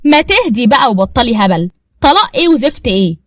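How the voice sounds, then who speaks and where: Casting: a woman as a bossy Egyptian mother-in-law, firm and brisk, in a dry storybook read. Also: on the high side, sing-song, no room sound, pitched above the performer's own voice. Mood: scolding. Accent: Egyptian Arabic. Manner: scolding, firm.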